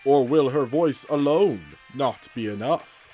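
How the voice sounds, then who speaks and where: A man's voice: medium pitch, takes it slowly, mellow, soft background music, lightly acted. A man playing a theatrical TV announcer, reading a suspenseful promo for a cartoon show in a fandub. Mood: dramatic, hyping. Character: TV announcer plugging a cartoon idol show, dramatic.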